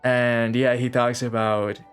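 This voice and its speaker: A man's voice: mid-range voice, flat delivery, takes it slowly, clear, resonant tone, soft background music. A man chatting casually on a game live stream, rambling and repeating phrases as he thinks aloud.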